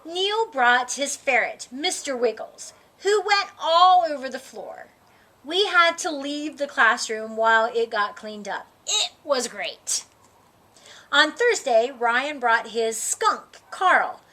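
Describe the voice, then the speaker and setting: A woman reading a children's book aloud as storyteller, narrating in character with expressive, dramatic emphasis. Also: high voice, slow pace.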